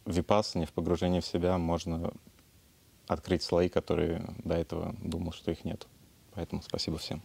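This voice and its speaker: A man sharing his meditation experience at a retreat, speaking haltingly and searching for words. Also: deep voice, creaky, darkish tone. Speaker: retreat participant. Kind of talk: personal testimony.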